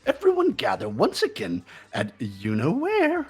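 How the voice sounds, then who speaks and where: A man's voice: huge pitch swings, unhurried, breathy edge, faint music behind, heavily acted. A man voicing a mischievous, sinister male bear mascot in a fan-dubbed game, with a singsong, theatrical delivery. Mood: playfully ominous. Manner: singsong, theatrical, wild pitch swings.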